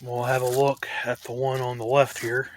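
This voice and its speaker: A man giving a casual, tentative show-and-tell commentary in a halting way, like a hobbyist vlogger. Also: medium pitch, flat delivery, a little hiss or hum.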